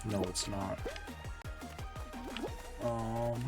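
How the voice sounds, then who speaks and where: A male streamer chatting casually while playing a game, rambling and correcting himself, sounding tired and a little hoarse. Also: fairly even intonation, very slow, recorded from a distance, over loud music. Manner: rambling, self-correcting, low-key. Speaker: Twitch streamer. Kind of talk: casual live-stream gaming chat.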